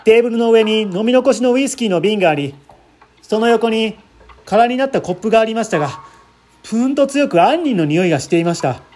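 Man playing an earnest, high-pitched, animated witness. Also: a little fast. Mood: agitated, regretful. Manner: polite, explanatory, dramatic. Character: earnest, anxious man recounting a friend's death.

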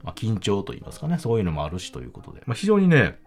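A man with a Kansai accent chats casually on his own as a podcast host. His lowish voice swings very widely up and down as he talks in a chatty, emphatic way. Music plays clearly behind him. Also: wildly swooping pitch, racing. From Kansai, Japan. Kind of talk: casual solo chat. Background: background music.

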